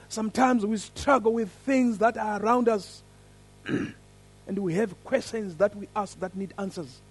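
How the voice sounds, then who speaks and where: A man with an African accent leading a church service, praying and then telling a story in an earnest, lively way. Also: high voice, slow, breathy edge. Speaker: preacher. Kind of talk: sermon with prayer and story.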